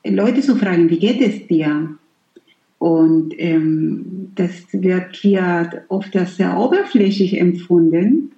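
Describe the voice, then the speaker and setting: A woman's voice: lowish voice, slow pace, dark tone, echoey room, muffled recording. A middle-aged woman whose first language is Spanish, speaking German in a thoughtful, hesitant interview with many fillers. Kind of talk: reflective personal interview.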